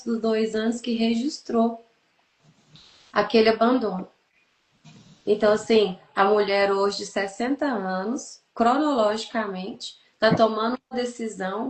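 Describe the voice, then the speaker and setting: A woman's voice: a little high, darkish tone. A Brazilian woman in her thirties explaining things didactically in a podcast conversation, listing and repeating points for emphasis. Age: 30s. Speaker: therapist, podcast host. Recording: echoey, roomy sound.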